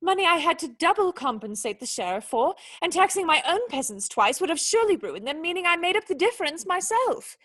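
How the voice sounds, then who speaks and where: A woman plays a young noblewoman in a radio drama, high-pitched and proud. She scolds in articulate, cutting phrases, indignant and wounded underneath. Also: sing-song, fairly quick, hardly any pauses, a bit bright, heavily acted. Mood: scornful, indignant. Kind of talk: radio play acting.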